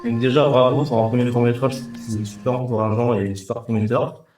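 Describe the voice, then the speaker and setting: Interviewer, man, halting filler-heavy questions, echoey room, faint music behind. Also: mid-range voice, flat delivery, a little mellow. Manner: hesitant, many filler pauses. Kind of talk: festival interview.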